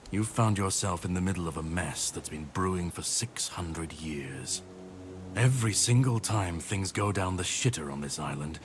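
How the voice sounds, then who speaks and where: Deep-voiced, grim warrior. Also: male voice. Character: grim world-weary warrior man, self-styled savior on a mission. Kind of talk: dramatic video game dialogue acting. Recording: clear background noise and ambience.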